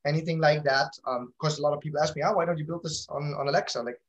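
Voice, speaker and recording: A man's voice: a little high, steady tone, quick pace. A man answering interview questions casually, in a hesitant, self-correcting way. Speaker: interview guest, company founder.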